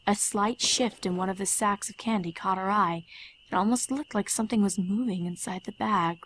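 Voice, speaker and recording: A woman reading scripted fan fiction as the narrator, in a measured storytelling manner. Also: mid-range voice, a bit bright, poor audio. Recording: faint music under narration.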